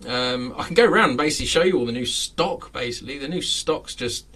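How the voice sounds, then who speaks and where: A man in his late thirties talks casually to a live audience from his model railway room. He rambles, fills pauses with "um," and chuckles often. His pitch sits in the middle, and he is heard from a little way off the mic. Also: lively intonation, sharp tone, far from the mic. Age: 30s. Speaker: host of a live chat. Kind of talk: casual live-stream chat.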